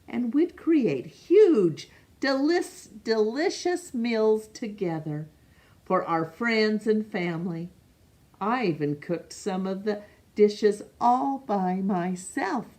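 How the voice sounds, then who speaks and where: Slow, expressive woman reading to children. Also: mid-range voice. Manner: expressive, poses questions to young listeners. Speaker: storytime reader. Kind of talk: reading a picture book aloud to children.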